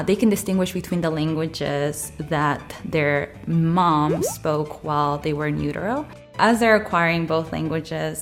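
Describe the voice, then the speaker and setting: A woman professor from Argentina, a native Spanish speaker, answering interview questions quickly and plainly. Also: deep voice. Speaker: psychology professor.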